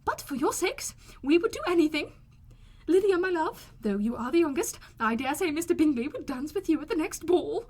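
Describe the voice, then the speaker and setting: Lady doing a fretful middle-aged mum from a novel, really high and shrill, swoops all over the place. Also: sing-song, moderate pace, darkish tone. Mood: delighted, gushing. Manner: shrill, gushing, exclamatory. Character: excitable, fretful middle-aged mother, easily offended. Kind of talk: audiobook character voice.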